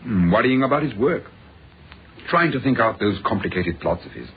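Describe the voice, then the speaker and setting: Genial British doctor, lively intonation. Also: male voice, fairly low pitch, normal speed, breathy edge, full character voice. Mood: assured, explanatory. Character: genial, self-assured British psychiatrist doctor, male. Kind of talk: radio drama acting. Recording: dull, faintly noisy radio recording.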